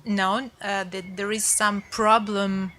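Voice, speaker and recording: A translator speaking English on a panel, thoughtful and halting with many fillers. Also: female voice, medium pitch, level tone, pushed and bright. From Ukraine. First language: Ukrainian. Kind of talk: panel discussion.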